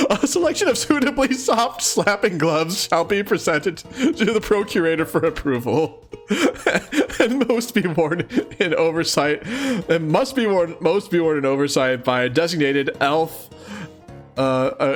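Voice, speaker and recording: Guy doing a lively read-along, kinda high voice, giggly and chatty, music in the background. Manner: giggly, expressive, dramatic reading mixed with chatty asides. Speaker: host of a live let's-read of a webcomic. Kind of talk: animated read-aloud with casual commentary.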